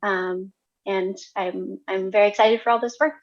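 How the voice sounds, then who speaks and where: A woman's voice: medium pitch, fairly even intonation, moderate pace. A young woman introducing herself at a public meeting over a video call, talking hesitantly with many fillers. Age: young adult. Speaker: new science staff member. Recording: dull lo-fi call audio.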